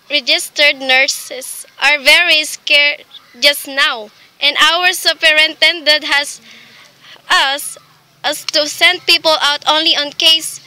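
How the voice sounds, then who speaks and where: A woman's voice: high voice, bright, forceful tone, full character voice, rough recording. A woman playing a polite, formal hospital switchboard receptionist in a radio play. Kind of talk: dramatic radio play acting.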